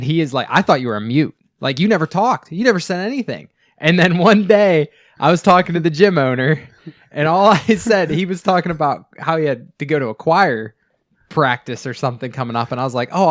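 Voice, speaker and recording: A man's voice: a little high, no echo, studio quality. A man co-hosting a casual podcast, telling stories with jokes and casual swearing. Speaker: podcast co-host. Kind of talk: casual storytelling chat.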